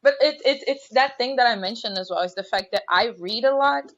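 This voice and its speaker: A woman's voice: a little high, a little fast, a bit bright. A young woman in her twenties chats frankly and brashly as a podcast co-host. Her audio comes through slightly muffled and lo-fi. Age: 20s. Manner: upbeat, candid, brash. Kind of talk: casual panel chat.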